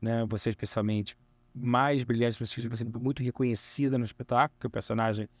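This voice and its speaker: A man's voice: mid-range voice, steady tone, brisk, no echo. Effusive Brazilian man hosting an interview. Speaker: podcast host. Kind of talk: conversational interviewing.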